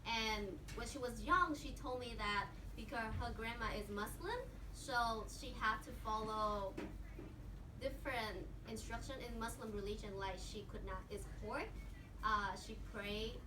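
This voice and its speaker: A woman's voice: on the high side, fairly even intonation, reverberant. Woman teacher, non-native English with Vietnamese as first language, hesitant delivery, noisy classroom audio from far off. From Vietnam–Cambodia border region. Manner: halting non-native English, slow, many ums. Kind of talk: classroom presentation to students.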